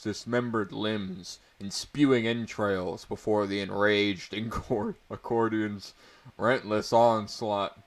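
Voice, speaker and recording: A man narrating a story aloud in a portentous, mock-dramatic way, with amused asides. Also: on the low side. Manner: portentous, breaking into amused commentary.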